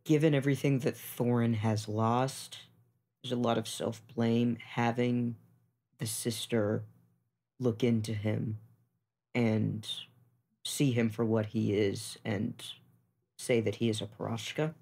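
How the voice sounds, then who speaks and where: A player in a tabletop game podcast, talking casually in deliberate, halting phrases. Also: mid-range voice, fairly even intonation, gravelly, mellow.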